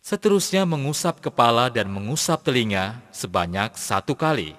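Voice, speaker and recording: A man's voice: mid-range voice, sing-song, normal speed, fairly bright tone. Man playing a patient cartoon religion teacher, step-by-step delivery, varied intonation, big reverberant sound. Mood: patient, instructive. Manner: methodical, instructive, enunciated step by step. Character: patient male Islamic teacher instructing young pupils. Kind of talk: educational cartoon lesson, scripted explanation. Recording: long hall-like reverb.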